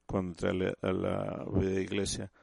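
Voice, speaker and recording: Low gravelly man preaching. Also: monotone, darkish tone, no room sound. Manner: halting, repeats and restarts words; addresses listeners as "usted". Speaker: church speaker. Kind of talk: sermon.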